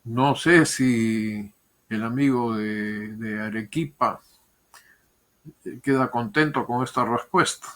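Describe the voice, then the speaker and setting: An older man, a historian and diplomat, giving measured, thoughtful interview answers with pauses and repeated words. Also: mid-range voice, fairly bright tone.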